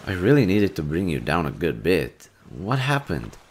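A man playing a kind, bashful young man in an audio roleplay, talking to the listener in a casual, gentle and hesitant way. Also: sits low, wildly swooping pitch, normal speed, slightly rough, full character voice. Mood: gentle, reassuring.

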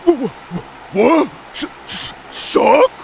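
A man's voice: very high voice, sing-song, slow, vocal fry, a little mellow, slight background noise, full character voice. A man playing a big, dim-witted, obedient henchman in a video game, whiny and stammering. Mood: defensive, flustered. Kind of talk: video game cutscene acting.

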